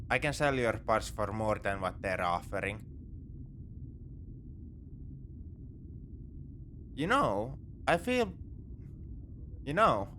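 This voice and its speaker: A man's voice: on the low side, fairly bright tone, slight background noise. A man playing a wry, morally grey space pirate in a sci-fi ASMR roleplay, with a conversational, nonchalant, dryly teasing delivery. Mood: wry, matter-of-fact. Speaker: audio roleplay performer.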